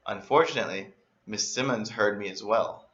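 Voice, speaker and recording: A man reading a children's story aloud in an animated, expressive way, as a storyteller. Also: on the low side, normal speed, dull audio. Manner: expressive, acts out emphasis and exclamations.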